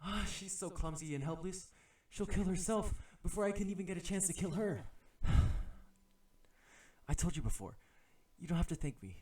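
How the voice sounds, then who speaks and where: A man's voice: high-pitched, animated, moderate pace, a little mellow. Man acting as an exasperated assassin turned bodyguard. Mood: exasperated, grumbling. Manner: polite, formal address mixed with sighing, grumbling inner monologue. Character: exasperated male assassin posing as a dutiful bodyguard. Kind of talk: ASMR audio roleplay acting.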